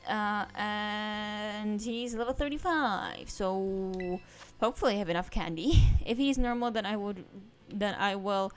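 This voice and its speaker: A woman's voice: medium pitch, measured pace, faint music behind. Chatty woman streamer. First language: Czech. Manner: rambling and chatty, trails off into asides. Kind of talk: casual let's-play commentary.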